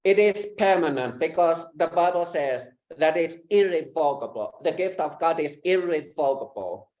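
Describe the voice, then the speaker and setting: Woman preacher, low voice, echoey room. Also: lively intonation, darkish tone. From Finland. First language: Finnish. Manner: speaks short phrases, pausing for translation. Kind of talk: teaching through an interpreter.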